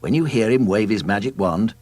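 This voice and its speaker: A man narrating a scripted read-along storybook in a deliberate, deadpan way. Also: lowish voice, no echo.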